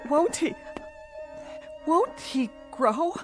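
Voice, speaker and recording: A hot-tempered, self-centred wife in a radio drama. Her voice sits high and swoops widely, dramatic and demanding. Music is clearly heard beneath her. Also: expressive, extremely slow, exaggerated voice. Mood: defiant, distraught. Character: selfish, hot-tempered wife, brassy former chorus girl. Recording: music under the voice.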